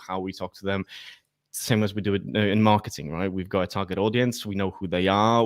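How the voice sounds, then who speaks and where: A man from Poland, a native Polish speaker, giving conversational interview answers in English as a guest, haltingly repeating words as he thinks. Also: low voice, no room sound. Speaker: interview guest, workplace culture facilitator.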